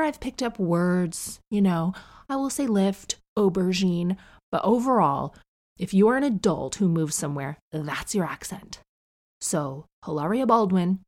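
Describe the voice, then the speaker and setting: A woman in her thirties from Canada with a neutral North American accent, hosting a podcast in a chatty, gossipy monologue with quick asides. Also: on the low side, a little mellow. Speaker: podcast host. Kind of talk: casual monologue.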